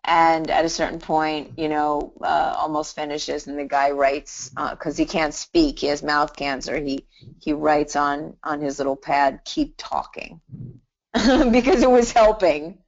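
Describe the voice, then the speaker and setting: A woman's voice: low-pitched, a little slow, dull audio. A middle-aged woman teaching hypnotherapy on a live online call, telling stories in a chatty way with many restarts and "you know". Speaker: hypnotherapy instructor.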